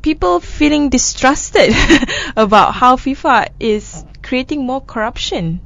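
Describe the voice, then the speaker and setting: A woman co-hosting a casual news discussion show, chatty and quick to laugh between items. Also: high voice, expressive, creaky, a bit bright, dull audio.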